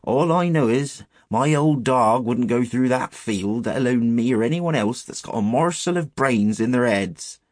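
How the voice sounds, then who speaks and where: A man performs an old English shepherd from a storybook. He speaks with a rural accent in an emphatic, grave way, full of caution. The sound is dry and slightly husky. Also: medium pitch, lively intonation, normal speed, hardly any pauses, a little mellow, no room sound, pitched above the performer's own voice, lightly acted. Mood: grave warning. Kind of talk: storybook character voice.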